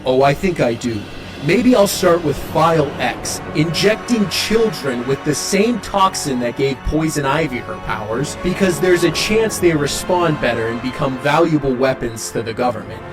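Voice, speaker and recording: A man's voice: fairly high pitch, expressive, moderate pace, music in the background, close to an everyday voice. A man voicing a defiant, sardonic young masked vigilante in a motion comic, cool, taunting and understated.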